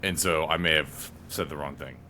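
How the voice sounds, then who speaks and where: A man in his thirties, a player chatting casually with friends, telling rambling stories that often trail off quietly. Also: very deep voice, moderate pace, a little hiss or hum. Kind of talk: casual chat between friends.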